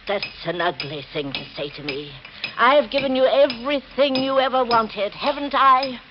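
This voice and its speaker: A woman's voice: a little high, animated, moderate pace, muffled recording, close to an everyday voice. A woman playing an imperious, fearful old aunt with a dramatic, anxious manner in 1940s radio mystery style, on a noisy old recording. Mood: indignant. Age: older.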